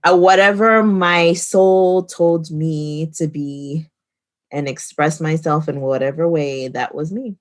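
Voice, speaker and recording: A woman co-hosting a casual podcast, telling stories in a relaxed way and laughing between points. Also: low voice, slow. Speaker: podcast co-host. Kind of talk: casual conversation.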